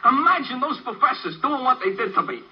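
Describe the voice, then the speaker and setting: An actor playing an excitable, plain-spoken, rather dim working man who stammers when flustered, in a 1950s comic radio drama. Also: very high-pitched, brisk. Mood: proud, excited. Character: excitable, dim working-class man in love, newly and briefly brainy. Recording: muffled old 1950s radio broadcast.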